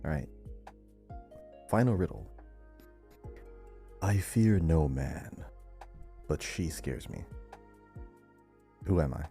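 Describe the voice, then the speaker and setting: A man's voice: rumbling low, expressive, slow pace, long pauses, mellow, faint music behind. A man co-hosting a podcast, playing quizmaster and reading out riddles in a casual way.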